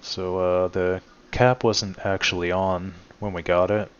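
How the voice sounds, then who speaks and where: Male host, deep and even delivery, relaxed filler-heavy garage walkaround, dull phone-recorded sound. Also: measured pace, clean tone. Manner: relaxed, many "uh" fillers, addresses viewers as guys. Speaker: channel host showing his truck restoration. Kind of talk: casual walkaround narration. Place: home garage.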